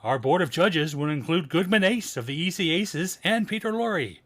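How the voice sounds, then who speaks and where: A man's voice: fairly high pitch, lively intonation, normal speed, heavy background noise, lightly acted. A man playing a polished, hearty announcer in the style of 1940s radio. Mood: formal.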